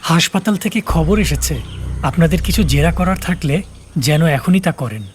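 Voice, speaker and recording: A man's voice: a little high, lively intonation, normal speed, a little hiss or hum, natural voice. A man playing an uneasy, deferential junior policeman in an audio drama. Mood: uneasy, respectful.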